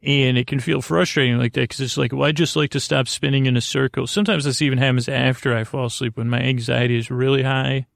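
Male sleep-podcast host, creaky voice, dry close sound, halting and whimsically meandering. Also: medium pitch, steady tone, dry recording. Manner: halting, meandering, repeats himself; whimsical, tongue-in-cheek. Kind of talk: rambling improvised sleep podcast monologue.

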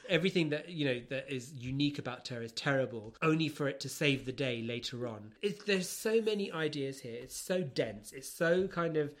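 A man's voice: on the high side, lively intonation, moderate pace, hardly any pauses. A man co-hosting a podcast in casual book-review chat, swearing freely with mock exasperation. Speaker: podcast co-host.

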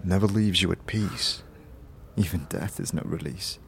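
A man playing a solemn, world-weary immortal wanderer with a deliberate, commanding way of speaking, over music in a fantasy audio drama. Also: deep voice, creaky, slightly rough, voice pushed down, exaggerated voice. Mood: haunted. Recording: music behind the voice.

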